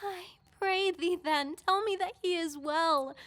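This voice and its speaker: A woman's voice: extremely high pitch, measured pace, fairly bright tone, dry recording. A woman playing a fierce, heartbroken young lover in a Shakespeare audio drama, impassioned and accusing in verse. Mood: bitter.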